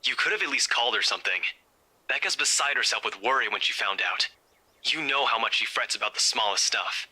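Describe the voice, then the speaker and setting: Visual novel role: cool, guarded young friend, raspy edge, very forward sharp tone, matter-of-fact. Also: male voice, medium pitch, animated, brisk, full character voice, rough recording. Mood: concerned, chiding. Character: composed, guarded young man, dry with friends.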